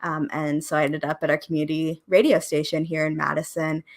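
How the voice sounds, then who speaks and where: A woman in her twenties from Oregon, a podcast producer, talking in a relaxed, rambling way with fillers and small laughs. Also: low-pitched, a little fast. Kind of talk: casual conversation interview.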